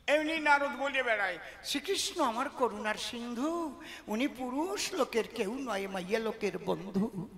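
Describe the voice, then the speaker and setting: A man's voice: high-pitched, vocal fry. A man performs devotional rhymed verse theatrically, half speaking and half declaiming, putting questions to his listeners. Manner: theatrical, rhetorical questions to listeners, swelling from quiet to loud. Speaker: kobigaan folk poet-singer and religious storyteller. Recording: live hall sound with long reverb.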